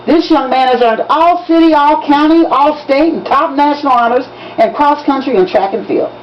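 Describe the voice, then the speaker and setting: A proud male school principal acted out by a woman speaks in a formal, declamatory way, pitched up as if from a podium. It is a storybook reading, slightly muffled, with faint background noise. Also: normal speed, lightly acted. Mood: proud, announcing. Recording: dull lo-fi recording with background noise.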